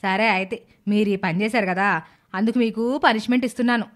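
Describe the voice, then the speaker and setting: A stern middle-aged aunt , played by a woman, in a cartoon moral story. She scolds with measured, mock-sweet sarcasm and hands out threats like a boss of the house. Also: medium pitch, fairly even intonation, close to an everyday voice. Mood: stern, sarcastic.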